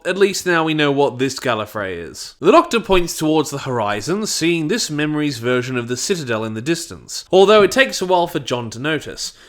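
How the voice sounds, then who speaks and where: A man's voice: on the high side, a bit bright. A man narrating a scripted review, stressing his phrases emphatically.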